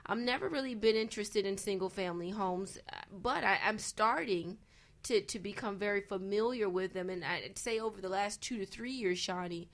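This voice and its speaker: A woman's voice: medium pitch, moderate pace. A woman speaking conversationally as a guest on a panel about investing, emphatic and repeating phrases for emphasis.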